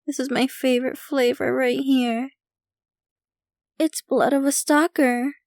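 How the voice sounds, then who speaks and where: Actress as possessive vampire girlfriend for ASMR roleplay: slow, high female voice, theatrical and devoted. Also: little pitch movement, a little mellow, no echo, clean studio sound. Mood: delighted, gushing. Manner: theatrical, doting, gushing. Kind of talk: ASMR roleplay, scripted intimate monologue to listener.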